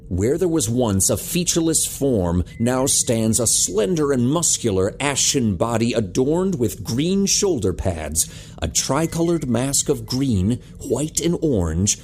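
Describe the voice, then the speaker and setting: A man narrating a scripted audio drama in a measured, dramatic way. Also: medium pitch, dark tone, slight background noise.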